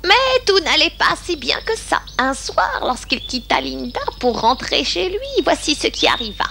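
A woman's voice: sits high, big pitch swings, normal speed, gravelly, bright, forceful tone, slight background noise. A woman reading scripted storybook narration for a cartoon.

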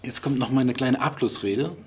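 A man's voice: medium pitch, moderate pace. Casual male vlogger, noisy background. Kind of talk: casual vlog chat. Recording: clearly audible ambient background noise.

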